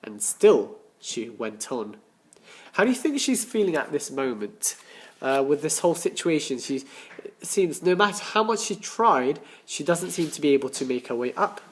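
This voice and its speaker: A man reading a children's story aloud as a teacher, with deliberate dramatic emphasis and questions to his listeners. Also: on the high side, sing-song. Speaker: primary school teacher.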